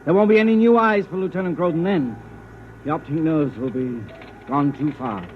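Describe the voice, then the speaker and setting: A muffled vintage broadcast with light noise carries a man acting an agitated starship officer. The voice is a little high, blunt and pessimistic, in 1950s radio-drama style. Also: moderate pace, lightly acted. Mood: agitated, bitter.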